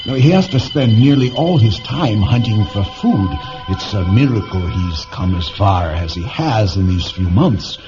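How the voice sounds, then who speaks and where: Muffled radio-drama recording over prominent music of an ailing, bitter scientist who pilots a body by mind. He is sharp-tongued and abrupt, with a low voice that swings widely. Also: expressive, unhurried, few pauses. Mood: earnest, intense. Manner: sarcastic, abrupt, volatile. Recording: muffled lo-fi audio with music behind.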